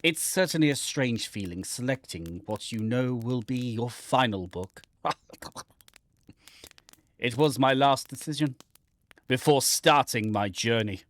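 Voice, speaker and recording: A man's voice: medium pitch, lively intonation, a little slow, pauses often. A man reading a game script aloud in a theatrical, playful manner, slipping in dry asides. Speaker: gaming channel host.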